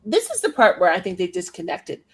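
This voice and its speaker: A woman with a lowish voice co-hosts a podcast, talking casually. She explains her views at length and leans on key words for emphasis. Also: wildly swooping pitch, a little fast, slight background noise. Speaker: podcast co-host. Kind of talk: casual chat.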